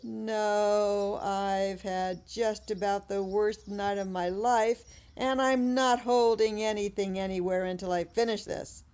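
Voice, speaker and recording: A woman's voice: medium pitch, steady tone, slow, few pauses, a little mellow, clean tone, lightly acted. An actress playing a defiant, foul-mouthed young woman captive in an audio drama, sarcastic and tough. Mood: weary swagger.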